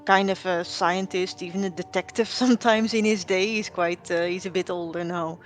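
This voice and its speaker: A woman's voice: on the low side, fairly even intonation, moderate pace, faint music behind. A woman telling her roleplay character's backstory, rambling with fillers and restarts. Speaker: roleplay player.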